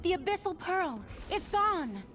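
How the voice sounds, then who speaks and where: A woman's voice: very high-pitched, sing-song, a little slow, noisy background, exaggerated voice. A woman playing an earnest, troubled mage of a scholarly order in a video game, speaking formally and precisely. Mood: troubled, puzzled. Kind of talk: scripted video game character dialogue.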